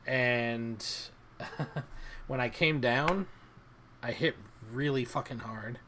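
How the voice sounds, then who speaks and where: A man's voice: mid-range voice, extremely slow, pushed and bright, slight background noise. A man co-hosting a casual podcast, telling a story in a laid-back way and laughing often. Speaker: podcast co-host. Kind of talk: casual chat.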